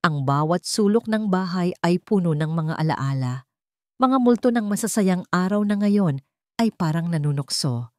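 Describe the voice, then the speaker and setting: Female Filipino narrator, low register, solemn dramatic storytelling, dry studio-style sound. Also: lively intonation, normal speed, warm tone, dry recording.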